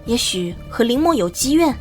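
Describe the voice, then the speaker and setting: A woman playing a frank, teasing police colleague in an animated drama. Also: on the high side, normal speed, music in the background. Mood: businesslike. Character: teasing, perceptive female police colleague of the detective.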